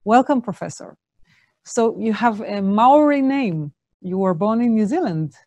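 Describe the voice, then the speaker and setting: A woman's voice: medium pitch, expressive, unhurried, darkish tone, dry recording, muffled recording. A woman hosting an interview, asking questions hesitantly with many fillers.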